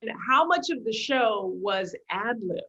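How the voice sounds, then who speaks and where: A woman with a fairly high voice moderates a panel in a casual interviewing style. Her audio is slightly dull and lo-fi. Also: lively intonation, unhurried, fairly bright tone, husky.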